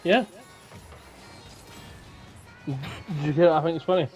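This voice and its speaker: A man's voice: on the high side, a little slow, a little mellow, faint music behind. A Scottish man co-hosting a podcast in casual, informal conversation. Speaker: podcast co-host. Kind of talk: casual chat.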